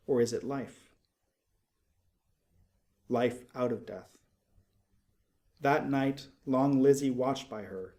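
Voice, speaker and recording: A man reading narrative poetry aloud as a dramatic narrator, expressively, in measured phrases with deliberate pauses. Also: medium pitch, warm tone.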